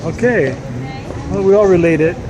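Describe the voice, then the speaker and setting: A man's voice: high voice, measured pace, dull audio, background noise. A man interviewing people at a community event, asking friendly, chatty questions. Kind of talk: casual street interview. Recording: outdoors with loud music. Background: loud music.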